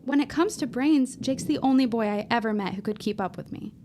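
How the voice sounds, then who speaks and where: Casting: actress for young woman in period audio drama, higher register, expressive, earnest and determined, faint noise underneath. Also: a little fast, lightly acted. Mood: admiring. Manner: earnest, thoughtful.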